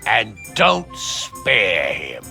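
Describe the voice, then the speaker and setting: A blunt medieval game lord with a very sharp, forceful voice. He drawls slowly and mockingly, jovial but in command. Music plays underneath. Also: fairly low pitch, wildly swooping pitch, gravelly, full character voice. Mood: amused, commanding. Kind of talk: video game cutscene acting.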